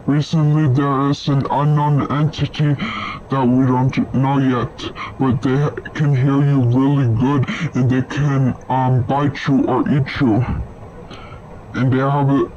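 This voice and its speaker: A man reading an amateur emergency alert announcement in a hesitant, halting way. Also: mid-range voice, flat delivery, darkish tone. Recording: dull lo-fi audio with faint noise.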